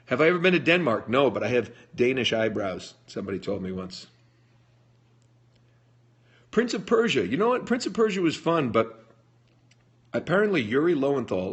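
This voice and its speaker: Husky-voiced man chatting on a live stream. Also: medium pitch. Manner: chatty, jokey, reads comments aloud and answers. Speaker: livestream host answering fan questions. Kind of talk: casual live Q&A chat. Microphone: phone livestream.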